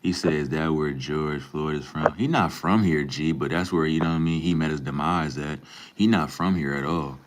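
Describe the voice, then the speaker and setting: Young man from Minnesota, extremely low voice; relaxed live-stream banter with chat. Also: normal speed, background noise. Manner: laid-back, relaxed slang, calls viewers bro and man. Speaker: livestreamer. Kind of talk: casual live stream chat, reading and answering viewer comments.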